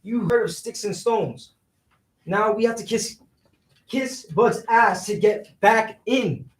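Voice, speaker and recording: Recorded from afar in a roomy space, an actor plays a blaming, exasperated young man in a stage play. He has a high voice and talks in incredulous, street-wise slang. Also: takes it slowly, slight background noise. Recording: roomy echo, distant microphone.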